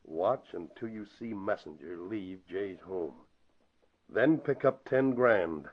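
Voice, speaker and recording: An actor playing a menacing, vengeful crook, sneering and confrontational in 1940s radio style. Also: male voice, sits low, lively intonation, slow, slight background noise. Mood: accusing. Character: menacing, accusing crook bent on revenge. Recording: dull lo-fi vintage radio broadcast.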